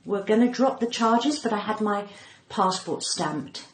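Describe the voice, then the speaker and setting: A woman's voice: mid-range voice, measured pace. Cut-glass older English woman, roomy recording. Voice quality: cut-glass, well-spoken. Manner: dramatic, acting out quoted speech. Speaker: podcast guest, comedian and actor. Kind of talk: candid anecdotal storytelling in an interview. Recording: roomy echo, faint background noise.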